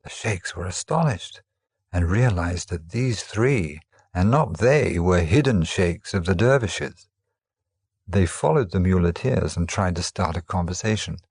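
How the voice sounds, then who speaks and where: A man narrating traditional teaching stories as a storyteller, in a measured, formal manner. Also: low voice, animated, a little mellow, breathy edge, no echo. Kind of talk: scripted narration of teaching tales.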